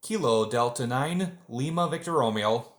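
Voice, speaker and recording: A man's voice: medium pitch, lively intonation, normal speed, echoey room, noisy background. A man chatting casually as an amateur radio operator. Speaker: amateur radio operator narrating and making contact. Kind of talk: casual chat.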